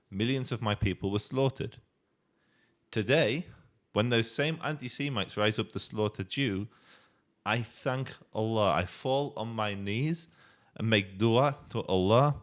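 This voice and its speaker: Assertive low-voiced man. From United Kingdom. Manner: assertive, argumentative, calls others bro. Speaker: street debater. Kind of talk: confrontational street debate.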